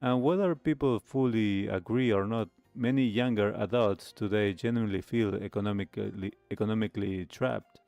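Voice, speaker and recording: A man hosting a talk show, giving reflective commentary in halting, deliberate phrases. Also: fairly low pitch, vocal fry, darkish tone, no echo, faint music behind.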